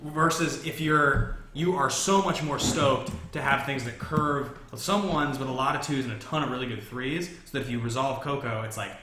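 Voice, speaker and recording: A man's voice: mid-range voice, normal speed, hardly any pauses, sharp tone. A man chatting casually about a card game, explaining and arguing his points one by one. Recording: roomy echo, noticeable background noise.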